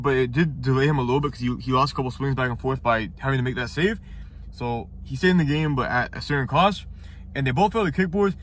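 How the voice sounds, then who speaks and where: Fast-talking congested young man. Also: medium pitch, fairly bright tone, a little hiss or hum. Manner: rambling, many restarts and fillers. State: congested, nasal. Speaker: ninja competitor reviewing episodes. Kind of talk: casual commentary review.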